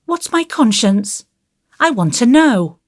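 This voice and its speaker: A woman's voice: fairly high pitch, sing-song, slow pace, no echo, clean studio sound, pitched above the performer's own voice, heavily acted. A woman voicing an earnest, naughty but penitent little boy in an audiobook, pleading and blurting in childlike, colloquial speech. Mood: curious, pleading. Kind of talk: audiobook character voice.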